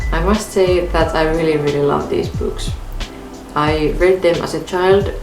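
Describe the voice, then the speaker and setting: A young woman whose first language is Finnish reads through a book tag to camera in a calm, measured way from her bedroom. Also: deep voice, roomy sound. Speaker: book vlogger. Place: bedroom in front of a bookshelf, indoors.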